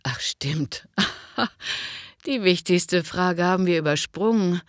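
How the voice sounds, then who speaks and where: A woman's voice: fairly low pitch, slow, no echo, studio quality. A woman acting a friendly, frank boss interviewing an applicant in a scripted language lesson, cordial and businesslike. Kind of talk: scripted language-learning dialogue.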